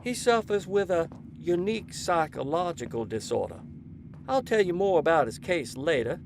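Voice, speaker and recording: Actor as a stern, gravelly asylum doctor. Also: male voice, a little high, sing-song, a little hiss or hum. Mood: brisk, formal. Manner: formal, authoritative, deliberate. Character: stern, controlling male asylum doctor, quietly ominous. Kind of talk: audio drama acting.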